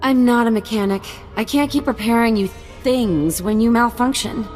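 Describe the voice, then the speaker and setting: A woman's voice: fairly high pitch, normal speed, darkish tone, lightly acted. Woman playing a harried servant, reverberant sound. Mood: exasperated. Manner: informal, casual. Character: harried but loyal young female servant-mechanic. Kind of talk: video game voice acting. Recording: reverberant, faint music behind.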